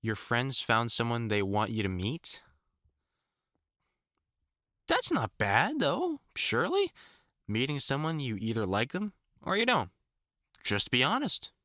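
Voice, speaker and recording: A man's voice: mid-range voice, wildly swooping pitch, a little slow, pauses often, bright, forceful tone, dry recording, exaggerated voice. A man playing an older, experienced vampire hunter in an audio roleplay, talking informally and reassuringly like a mentor, with dry jokes and mild swearing. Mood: encouraging, teasing. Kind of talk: intimate one-sided audio roleplay, conversational acting.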